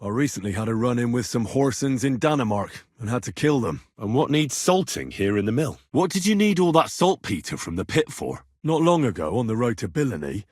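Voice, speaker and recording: A man's voice: mid-range voice, very animated, a little fast, hardly any pauses, dry recording, clean studio sound. A man playing a young, plain-spoken medieval adventurer in a video game, wry, earnest and easily exasperated. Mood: earnest, conversational. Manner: earnest, blunt, exasperated, conversational. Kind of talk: video game dialogue acting.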